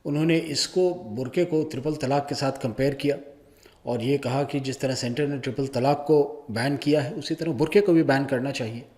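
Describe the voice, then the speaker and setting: A man presenting news commentary in an informal, opinionated way, often pausing mid-sentence. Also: medium pitch, a little mellow.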